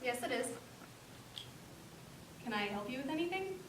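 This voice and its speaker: A woman's voice: high voice, lively intonation, normal speed. An actress playing a tender, emotional birth mother in a stage play. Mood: polite. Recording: echoey stage, distant mic, noisy.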